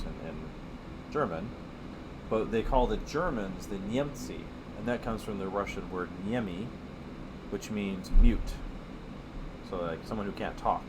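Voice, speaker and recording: A man's voice: lowish voice, background noise. A man teaching art on a live stream, chatting casually and wandering off topic while he draws. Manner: chatty and digressive, with many fillers. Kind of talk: casual rambling chat while drawing.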